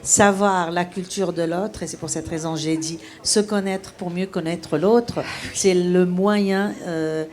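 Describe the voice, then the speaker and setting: A woman, an HR association president, speaking in a measured way and searching for words. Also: lowish voice, fairly even intonation, darkish tone. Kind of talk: spontaneous testimony.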